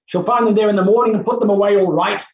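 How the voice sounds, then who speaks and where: An actor playing an overbearing, impatient, scornful professor in a theatrical play reading, blustering and muttering exclamations. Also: male voice, high-pitched, steady tone, quick pace, dull audio, close to an everyday voice. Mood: brisk, offhand. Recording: roomy echo with faint hiss.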